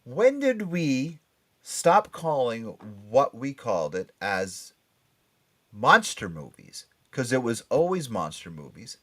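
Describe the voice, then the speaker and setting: A man's voice: medium pitch, big pitch swings, slow, a bit bright. A middle-aged or older American man hosting a casual pop culture talk show, chatty and emphatic. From Norwalk, Connecticut, USA. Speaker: radio and podcast show host.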